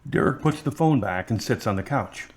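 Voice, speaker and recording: A man's voice: mid-range voice, lively intonation, moderate pace, darkish tone. A man narrating scripted scenes in an audio drama.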